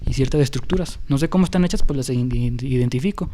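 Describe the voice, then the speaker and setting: A young Mexican man with a mellow, dark voice rambles through a casual podcast chat about music. He hosts at a middle pitch, with plenty of fillers and restarts. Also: quick pace. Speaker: podcast host.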